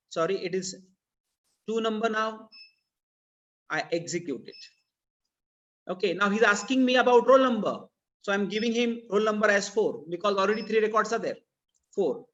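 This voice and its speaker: A man's voice: high-pitched, a bit bright. A man with an Indian accent teaching programming in a live online lesson, explaining didactically and repeating phrases for emphasis. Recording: dull, lo-fi online meeting audio.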